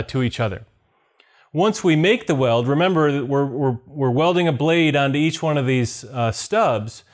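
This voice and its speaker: A man in his forties presenting a technical whiteboard lesson, explaining methodically as a company executive would. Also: fairly high pitch. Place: indoor video studio with whiteboard.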